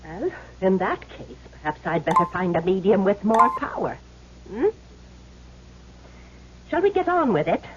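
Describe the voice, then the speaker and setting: An actress playing a skeptical, demanding wealthy widow in a vintage radio drama. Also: female voice, medium pitch, very animated, unhurried, pauses often. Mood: demanding, impatient. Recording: muffled, noisy old radio recording.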